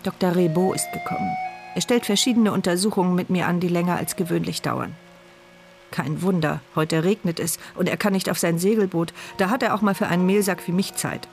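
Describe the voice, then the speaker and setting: A woman's voice: on the low side, brisk, a little mellow, faint music behind, close to an everyday voice. An actress playing a paralysed, bitterly sarcastic woman who narrates her thoughts as an intense inner monologue in a radio drama. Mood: sardonic.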